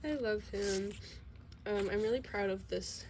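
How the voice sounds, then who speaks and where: A woman's voice: medium pitch, a little slow, darkish tone, a little hiss or hum. A teenage girl with a slight cold, sniffling a little, casually rambling through a sketchbook tour with lots of fillers and self-deprecating asides at home. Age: teens. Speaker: vlogger.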